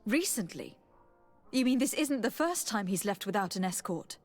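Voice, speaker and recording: A woman's voice: mid-range voice, very animated. Earnest noblewoman, music behind. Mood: questioning, insistent. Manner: formal, measured, probing. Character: earnest, determined noblewoman diplomat, protective of her cousin. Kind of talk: dramatic video game cutscene acting.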